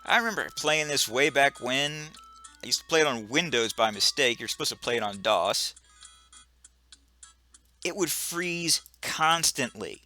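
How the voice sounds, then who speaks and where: A man's voice: medium pitch, animated, unhurried, sharp tone, soft background music. A man casually commenting as he plays a game, joking at himself and tripping over words. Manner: joking, self-mocking, trips over words. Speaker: let's play gamer.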